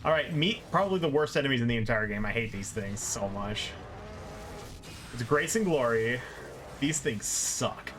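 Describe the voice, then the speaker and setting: A man's voice: medium pitch, lively intonation, moderate pace, fairly bright tone, a little hiss or hum. A man streaming a game with casual, explanatory commentary and exasperated asides. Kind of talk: casual live gameplay commentary. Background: game music.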